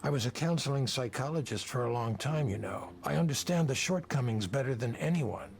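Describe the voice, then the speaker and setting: Creaky, world-weary male visionary. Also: mid-range voice, expressive, brisk, darkish tone, slight background noise. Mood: fervent. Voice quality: ragged, creaky. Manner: speechifying, persuasive, world-weary. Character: jaded, whiskey-drinking male tech visionary, ex-psychologist, intense and cynical. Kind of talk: dramatic visual novel voice acting.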